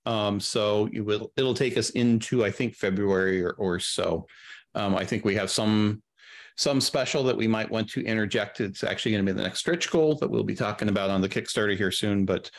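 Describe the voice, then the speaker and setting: A man's voice: lowish voice, moderate pace, gravelly. A middle-aged man chatting casually as an interview guest, explaining production matters at length and in practical detail on a video call. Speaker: guest, audio drama producer. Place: indoor room, video call.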